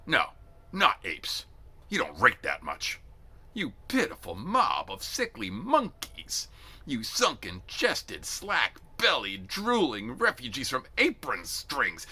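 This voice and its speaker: A man acts out a scornful drill sergeant from an audiobook-style war story. He barks and leans hard on every insult, with a forceful, piercing edge. The pitch leaps widely and the voice has a rough rasp. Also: full character voice. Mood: disgusted. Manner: barking, drawn-out emphatic insults. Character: bellowing, contemptuous male drill sergeant, theatrically insulting. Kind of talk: dramatic read-aloud of a military novel.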